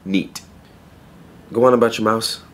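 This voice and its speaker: An actor playing a wry, blunt young pet store clerk in an audio play, deadpan and sardonic. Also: male voice, fairly low pitch, unhurried. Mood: wry, unimpressed. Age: young adult. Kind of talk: naturalistic audio play acting.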